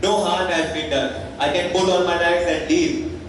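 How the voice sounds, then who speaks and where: A man playing a poor peddler in a school stage play, defiant yet humble, speaking in slow declamation. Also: high voice, steady tone. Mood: pleading. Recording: very echoey hall, distant, faint noise.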